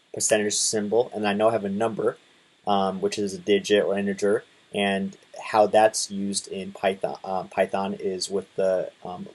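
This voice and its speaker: A man in his twenties casually talking through a coding tutorial, relaxed, with lots of fillers and restarts. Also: fairly low pitch, slightly rough, low-quality recording. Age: 20s. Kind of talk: casual screen-share tutorial.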